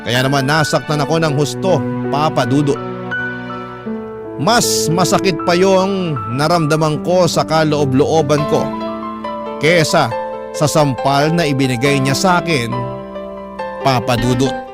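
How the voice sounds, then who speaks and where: A man's voice: a little high, unhurried, long pauses. A man reading a scripted first-person story as a radio drama narrator. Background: music under the voice.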